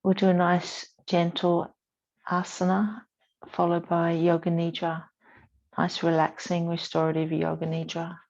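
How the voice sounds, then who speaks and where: A woman yoga instructor leading a guided relaxation practice in a soothing, unhurried manner, with gentle pauses between short cues. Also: lowish voice, completely flat delivery, highs cut off.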